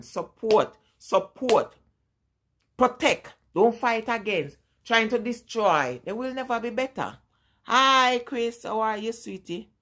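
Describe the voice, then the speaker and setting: Woman, Jamaican accent, forties, somewhat low-pitched, emphatic, repeats herself, casual live-stream chat. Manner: emphatic and repetitive, talking straight to viewers. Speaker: vlogger.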